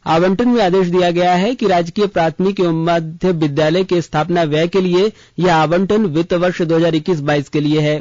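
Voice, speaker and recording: High-pitched male radio newsreader, dull sound. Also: steady tone, brisk, few pauses, darkish tone, no echo. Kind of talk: scripted news bulletin reading.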